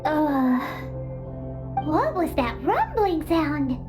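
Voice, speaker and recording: A tiny, cheeky fairy sidekick from a video game, squeaks along in a very high childlike voice. The delivery is excitable and swoops animatedly, with music playing behind it. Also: female voice, extremely slow, full character voice. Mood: flustered. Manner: refers to self in third person, exclamatory. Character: small excitable floating fairy companion, childlike, greedy, cheeky.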